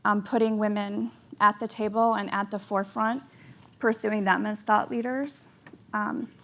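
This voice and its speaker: Woman editor on a panel, bright crisp voice, candid and earnest. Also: medium pitch, fairly even intonation, moderate pace. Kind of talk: panel discussion.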